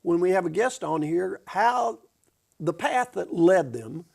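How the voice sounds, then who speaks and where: A man with a strongly gravelly, rough voice hosts a college television talk show. His pitch sits a little high for a man, and he often stops and restarts his sentences as he introduces his guests. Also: animated, a little slow, darkish tone. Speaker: host. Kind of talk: campus TV talk show interview.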